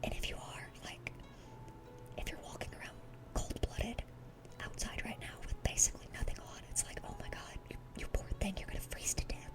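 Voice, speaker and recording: A woman's voice: fairly quick, natural voice. A woman playing a dorky, eager young demon in a whispered ASMR roleplay, rambling sweetly and often repeating her words. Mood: curious.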